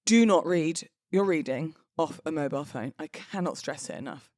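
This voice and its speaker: A woman's voice: low voice, normal speed, darkish tone, no room sound. British woman podcaster, dry close-miked sound. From United Kingdom. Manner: chatty, self-deprecating, playfully emphatic. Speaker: podcast host; wedding celebrant. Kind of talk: casual solo podcast chat.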